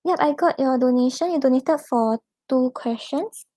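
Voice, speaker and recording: Young woman, Singaporean accent, tarot reader on a live stream, mellow voice, dry close sound. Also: high voice, moderate pace, no room sound. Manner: gentle reassuring advice with fillers like "right" and "like".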